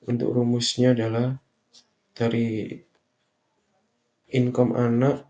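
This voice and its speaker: A young man giving an explanatory slide presentation in a stilted, halting, read-out manner. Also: mid-range voice, completely flat delivery, warm tone, lo-fi sound. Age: young adult. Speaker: university student presenter.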